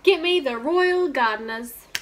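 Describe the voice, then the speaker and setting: A woman voicing a fussy, cold-footed storybook king who cries out loud demands. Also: high-pitched, expressive, a little slow. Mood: demanding.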